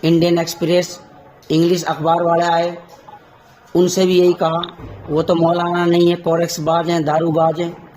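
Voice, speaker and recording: Insistent man with a higher-pitched voice. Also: completely flat delivery, normal speed, darkish tone, faint music behind, poor audio. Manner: insistent, argumentative, repeats himself for emphasis. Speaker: caller on a recorded phone call. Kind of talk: heated phone conversation about local politics.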